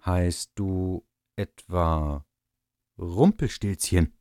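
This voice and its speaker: A man's voice: sits low, extremely slow, dark tone, no echo, clean studio sound, full character voice. A man reading a hesitant young queen. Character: anxious young miller's daughter turned queen.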